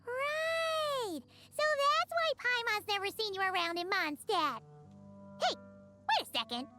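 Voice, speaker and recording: A woman voicing a small, cheeky, excitable fairy girl in an anime video game, squeaky, childlike and exclamatory. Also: takes it slowly, over loud music, full character voice. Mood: curious, surprised. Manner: refers to self in third person, exclamatory.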